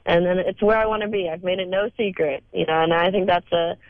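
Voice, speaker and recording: A young woman with a cold, hoarse, answering interview questions haltingly with many fillers. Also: fairly low pitch, steady tone, no echo. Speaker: guest on phone line. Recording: tinny phone line.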